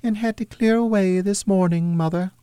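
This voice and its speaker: Man putting on a high-voiced dutiful young daughter. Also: moderate pace, mellow, clear, resonant tone, no echo, studio quality, lightly acted. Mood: earnest. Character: dutiful hard-working young daughter, Martha. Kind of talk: storybook reading of a Victorian tale.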